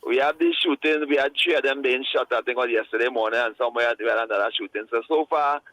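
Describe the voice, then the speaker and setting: A man calling in to a radio show, talking earnestly and a bit preachily, with halting restarts. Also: mid-range voice, sharp tone, no room sound, poor audio. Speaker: caller. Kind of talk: casual call-in chat.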